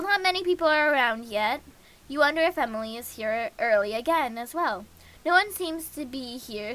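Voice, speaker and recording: A woman's voice: high-pitched, sharp tone. A woman streaming a visual novel, reading every character's lines and the narration aloud herself, with frequent small stumbles and restarts. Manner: reads all parts herself with small restarts and slips.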